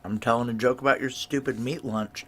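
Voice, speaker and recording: An actress playing a tough, guarded ex-military security chief, blunt and wry, in a noir science fiction audio drama. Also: female voice, very deep voice, steady tone, a little fast, fairly bright tone. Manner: blunt, clipped, profane, wry.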